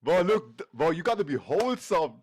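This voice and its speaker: A man's voice: on the high side, sing-song, a little fast, a crowd in the background. A man chatting with strangers on a video chat app, joking around and calling everyone "bro". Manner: teasing, says "bro" constantly.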